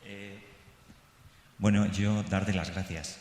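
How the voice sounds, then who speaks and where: Low-voiced man in an echoey hall. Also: fairly even intonation, a little mellow. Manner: hesitant, halting, appreciative. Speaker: radio teacher, audience speaker. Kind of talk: informal remarks from the floor. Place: large indoor conference hall.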